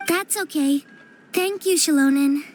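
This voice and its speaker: A woman voicing a frail, polite little girl in a video game, soft, gentle and hesitant. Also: sits high, unhurried. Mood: gentle, reassuring. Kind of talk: video game character acting.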